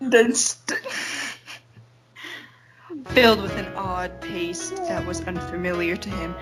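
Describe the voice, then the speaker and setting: A woman's voice: on the low side, a little slow. A woman reading a story aloud informally as a podcast host, giggly and breaking off into exasperated asides. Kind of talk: informal read-aloud narration with banter. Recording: guitar music behind the voice. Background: plucked guitar music.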